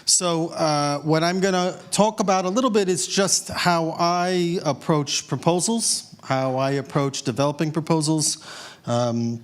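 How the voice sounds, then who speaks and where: A man's voice: fairly high pitch, smooth. A man with a New York accent presents at a conference as head of a grant writing unit, hesitant and full of filler sounds. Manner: halting, many filler sounds.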